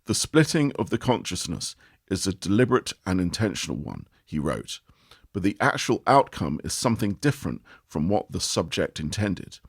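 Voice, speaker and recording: Lively low-voiced male narrator. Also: moderate pace, breathy edge, no echo, studio quality. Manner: expressive reading with lively rises and falls. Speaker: narrator reading an article. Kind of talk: measured audiobook narration.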